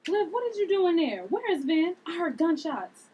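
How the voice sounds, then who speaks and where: A woman's voice: very high-pitched, sing-song, moderate pace, mellow, muffled recording. A woman reading an insistent, inquisitive friend at a drama table read. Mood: alarmed.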